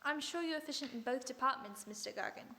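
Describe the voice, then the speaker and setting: A woman playing a composed, sharp detective in an old-time radio drama, cool and in command. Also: sits high, fast, a little hiss or hum.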